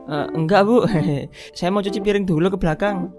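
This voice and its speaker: A woman's voice: mid-range voice, normal speed, music in the background, natural voice. A woman voicing a cheeky young village worker. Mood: grumbling. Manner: mutters sarcastic asides, talks back. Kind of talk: cartoon drama voice acting.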